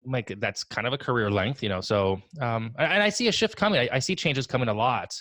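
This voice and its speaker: A man in his forties on a video call from his home office, talking in a relaxed, rambling, self-deprecating way. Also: mid-range voice, brisk, no room sound, studio quality. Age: 40s. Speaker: guest. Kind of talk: casual video call interview.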